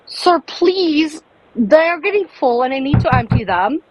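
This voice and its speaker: A woman making a prank phone call, speaking haltingly and apologizing often. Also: high-pitched, lively intonation, very noisy. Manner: halting, overly polite and apologetic. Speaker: prank caller.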